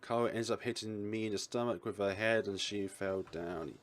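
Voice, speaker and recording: A man's voice: fairly low pitch, normal speed. A man reading a visual novel's narration aloud as a let's play narrator.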